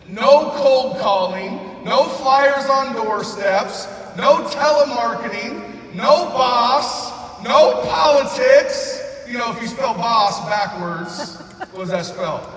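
A man in his thirties giving a loud, excited motivational speech on a big convention stage, often shouting to the crowd. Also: very high-pitched, a little hiss or hum. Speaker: convention speaker. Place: large convention arena stage. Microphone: far recording from the audience. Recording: echoey arena recorded from far off.

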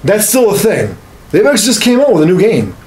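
A man's voice: high voice, huge pitch swings, fast, a little mellow. A man in his thirties chatting casually about games as a podcast co-host, with a crude, joking, profane manner. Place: small home studio room.

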